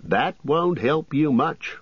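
A man putting on a high fussy rambling innkeeper. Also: lively intonation, takes it slowly, breathy edge, no echo, lo-fi sound, exaggerated voice. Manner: rambling, apologetic, chattering. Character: fussy rambling middle-aged male innkeeper.

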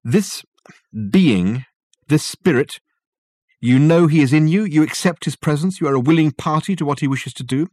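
A man voicing a brusque, excitable male detective in an audiobook, barking snappy, probing questions. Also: on the high side, normal speed, dry recording, studio quality, pitched above the performer's own voice, lightly acted. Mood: commanding, snappy.

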